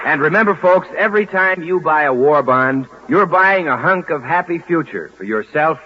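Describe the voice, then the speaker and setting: A man's voice: on the high side, lively intonation, normal speed. An American man announcing formally to an audience in 1940s radio style. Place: auditorium stage. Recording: muffled, hissy 1940s radio broadcast.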